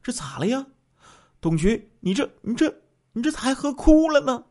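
A man's voice: mid-range voice, big pitch swings, measured pace, husky, exaggerated voice. A man voicing a hospitable, genial township chief in a story, coaxing in a colloquial way. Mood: alarmed.

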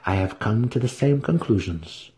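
A man voicing a commanding, calculating dark elf matron mother with an imperious, measured manner, in a fantasy storybook reading. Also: on the low side, animated. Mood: stern.

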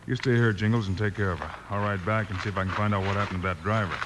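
Man playing steady Old West lawman, noisy recording. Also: fairly low pitch, racing, lightly acted. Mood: calm, concerned. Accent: American. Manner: firm, plainspoken, commanding. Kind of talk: old-time radio western acting. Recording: noisy vintage radio recording.

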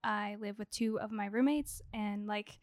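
A woman's voice: mid-range voice, fairly even intonation, measured pace, no echo, clean studio sound. A woman in her twenties from Minnesota, a podcast guest chatting casually and laughing easily. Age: 20s. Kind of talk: casual chat.

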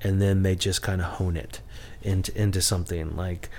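A man co-hosting a podcast in casual chat, rambling and self-deprecating with halting restarts. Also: low voice, flat delivery, mellow. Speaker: podcast co-host.

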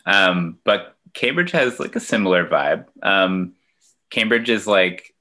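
A man from Ontario, a children's musician, answering interview questions in a relaxed, hesitant way with many fillers and restarts. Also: sits low, slow pace. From Ontario, Canada. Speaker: children's musician and outdoor educator.

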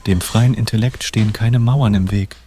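A man's voice: on the low side, steady tone, normal speed, warm tone, a little hiss or hum, natural voice. A man playing a lofty village philosopher who muses in flowery phrases in a comic audio drama. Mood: lofty, musing. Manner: flowery, declaiming.

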